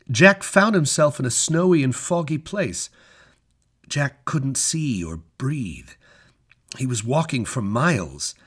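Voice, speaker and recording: A man reads a scripted story as a narrator, in a measured, expressive storytelling manner with deliberate pauses. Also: medium pitch, slightly rough. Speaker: story reader.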